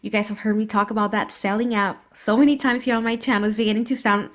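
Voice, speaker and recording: A woman's voice: medium pitch, steady tone, fast. Stuffy-nosed woman reviewing nail polish. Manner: chatty, many fillers like "you know" and "uh". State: stuffy from a cold. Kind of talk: casual product review. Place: indoors at a table.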